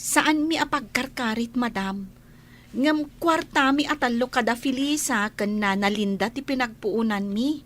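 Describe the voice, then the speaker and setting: Very high, slow-spoken Ilocano young woman acted by a woman. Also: a bit bright. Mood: indignant. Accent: Ilocano Filipino. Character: defiant young student, a former housemaid, frank and indignant. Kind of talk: Filipino radio drama acting.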